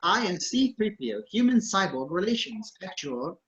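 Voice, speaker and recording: A man plays a fussy, anxious protocol droid with prim, formal phrasing. The pitch swoops animatedly, and the audio is dull and lo-fi. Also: very animated, moderate pace, a bit bright. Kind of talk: dramatic table read of a film script.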